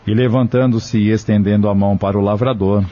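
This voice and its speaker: Low, dark, husky Brazilian male narrator. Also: steady tone, fairly quick, slight background noise. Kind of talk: scripted audio drama narration. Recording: dull lo-fi audio.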